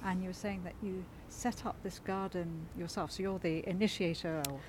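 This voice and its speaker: A woman hosting a podcast, asking friendly questions with soft laughs, outdoors in a community garden, sharing one small microphone. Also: fairly low pitch, steady tone, normal speed, background noise. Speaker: podcast host. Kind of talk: casual interview. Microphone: sharing one small microphone with the guest.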